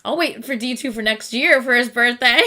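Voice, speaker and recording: A woman's voice: on the high side, moderate pace, pushed and bright. A young woman hosting a late-night reaction livestream, chatting casually with viewers and laughing often as her voice begins to give out. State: losing her voice. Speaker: reaction channel host.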